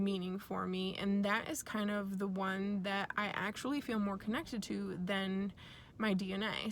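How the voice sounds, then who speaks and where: A woman from Nigeria with American parents gives a reflective, personal spiritual talk in a deliberate way, pausing mid-phrase. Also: mid-range voice, fairly bright tone, a little hiss or hum. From born and raised in Nigeria to American parents. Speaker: speaker sharing personal origin story.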